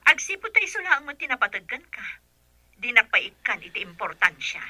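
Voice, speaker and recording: A woman's voice: fairly high pitch, very animated, normal speed, pushed and bright, dry recording, full character voice. A woman acting a prying, taunting caller through a telephone filter in a radio comedy drama.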